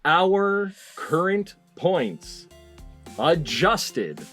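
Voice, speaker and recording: A man's voice: high voice, big pitch swings, extremely slow, fairly bright tone, soft background music. A middle-aged man playfully hosting a game show over a video call from indoors. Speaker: quiz show host.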